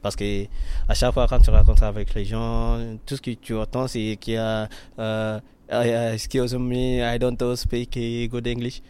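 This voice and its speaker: A man's voice: mid-range voice, level tone, measured pace, darkish tone, clear, resonant tone. A young man from western Nigeria whose first language is Yoruba, talking in an interview with many fillers and restarts. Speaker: interviewee, artist and freestyle rapper. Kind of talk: casual interview in learner French.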